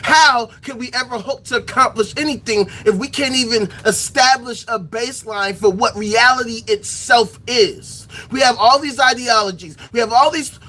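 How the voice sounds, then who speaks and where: Bright-voiced man ranting with rhetorical questions. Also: very high voice, normal speed, hardly any pauses, poor audio. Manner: repetitive, rhetorical questions, profane; reads aloud from a reference text. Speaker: political commentary streamer. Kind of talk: impassioned solo rant to viewers.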